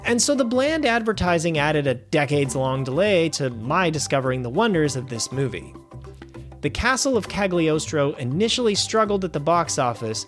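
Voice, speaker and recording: A man hosting a film review show, reading a scripted review with wry, animated asides. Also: on the high side. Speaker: film review podcast host.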